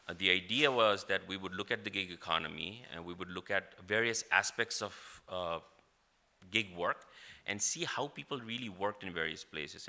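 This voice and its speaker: A man's voice: low voice, sharp tone. A man from Nepal, speaking as a journalist on a conference panel, filling his talk with "like, you know". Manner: hedging, heavy use of "like, you know".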